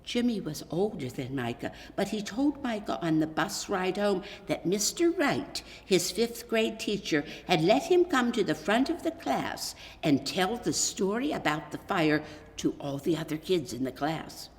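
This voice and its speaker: Female narrator, lower register, expressive children's story reading, long hall reverb. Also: measured pace. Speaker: author reading own story aloud.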